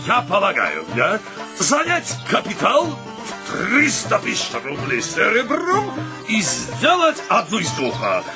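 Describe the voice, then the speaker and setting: An actor playing an eccentric, excitable suitor in a comic radio play, halting and emphatic as he repeats his words, with music behind him. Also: high voice, heavily acted. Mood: eager, fervent.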